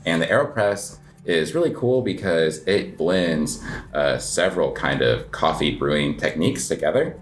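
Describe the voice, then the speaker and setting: A man's voice: very deep voice, normal speed, a little hiss or hum. A man, perhaps in his thirties, chatting casually as an interview guest about coffee, rambling with lots of filler words. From United States. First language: English. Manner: relaxed, rambling, heavy filler words, self-deprecating laughs. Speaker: guest, cafe owner and coffee competitor.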